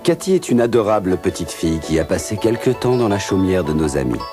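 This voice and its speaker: A man narrating a cartoon in a measured storybook way. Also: sits low, big pitch swings. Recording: studio voice-over over music.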